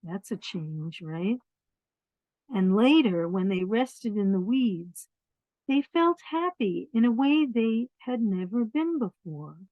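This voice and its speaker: A woman reading picture books aloud to young children, expressive, pausing to explain things in simple words. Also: medium pitch. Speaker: children's storyteller. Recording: dull, roomy lo-fi sound.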